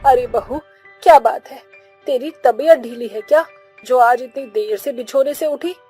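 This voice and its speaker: A woman voicing a hardworking, weary middle-aged village mother-in-law in a Hindi cartoon story, with a husky, homely rustic way of talking. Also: high voice, a little slow, mellow, soft background music. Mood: reproachful.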